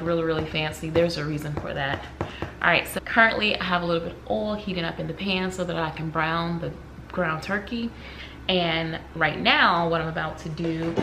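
A woman in her forties walks through her cooking in a casual, chatty way, her voice low and a little gravelly. The camera mic picks her up in a home kitchen with steady noise underneath. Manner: chatty, step-by-step, laughs now and then. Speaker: cooking vlogger. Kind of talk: casual cook-along narration. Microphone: camera mic, close to mid distance. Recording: noisy home kitchen recording.

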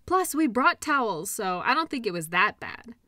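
A woman's voice: fairly high pitch, very animated, normal speed, bright, forceful tone, no room sound, clean studio sound. A woman playing a caring, upbeat girlfriend in an intimate roleplay audio, talking in a soothing, playful, encouraging way. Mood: relaxed, playful. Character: caring, upbeat girlfriend comforting an injured partner.

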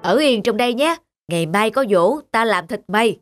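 A woman voicing a scheming, grumbling male farmer in an animated folk tale, given to exclamations. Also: a little high, moderate pace, faint music behind, lightly acted. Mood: gloating, menacing.

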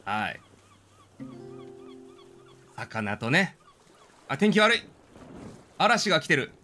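A man's voice: a little high, sing-song, extremely slow, pushed and bright, faint music behind. A man giving casual live commentary as he streams a game, talking to himself and his viewers. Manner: reads game dialogue aloud in character.

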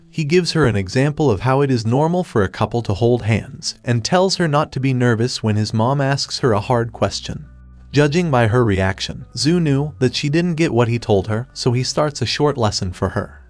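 A man giving a scripted third-person recap of a comic story in a steady retelling manner. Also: mid-range voice. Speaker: recap narrator. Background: faint background music.

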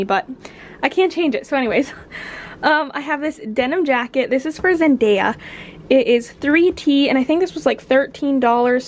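A young American woman chatting informally and casually, as a vlogger talking to her viewers. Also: fairly high pitch. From United States. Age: young adult. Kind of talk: casual vlog chat.

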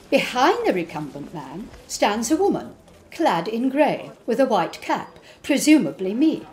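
A woman's voice: a little high, darkish tone. A woman performing a prim, exacting Victorian nurse in a precise, formal, indignant dramatic poetry monologue.

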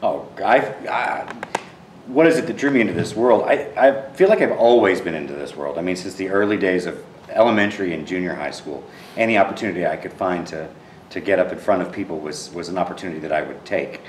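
A man in his thirties with a very deep voice answers interview questions with earnest warmth, often restarting and repeating words as he thinks. He sounds set back from the microphone. An empty theater adds a roomy echo and a faint hum of ambience. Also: animated, fairly quick, far from the mic. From Texas. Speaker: theater director.

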